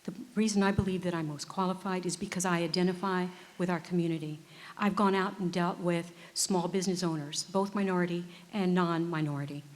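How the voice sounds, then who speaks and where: A woman in her fifties, a judicial candidate, speaking earnestly and in a measured way at a public forum. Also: lowish voice. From United States. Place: performing arts center stage. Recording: reverberant auditorium.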